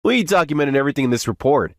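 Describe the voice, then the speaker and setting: Manga recap lead, male actor: modest young office worker, somewhat high voice, very animated, earnest. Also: rapid-fire, dry recording, studio quality, close to an everyday voice. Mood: confident, firm. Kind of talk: scripted first-person manga recap narration and dialogue.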